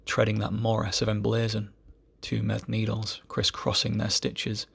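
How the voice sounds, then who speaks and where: A man recites poetry solemnly in a low voice full of heavy creak. His phrasing is measured and deliberate, and the tone is husky, as an amateur reader would give it. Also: monotone, a bit bright.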